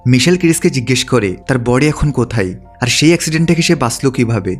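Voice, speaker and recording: A man's voice: mid-range voice, lively intonation, racing, faint music behind. A man reading a scripted film recap as a storytelling narrator.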